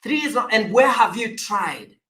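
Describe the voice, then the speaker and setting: A man's voice: high-pitched, lively intonation, a little slow, sharp tone, echoey room. A man hosting a coaching conversation, speaking earnestly and urging listeners to take action. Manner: earnest, motivational, with emphatic exhortations. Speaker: life coach host.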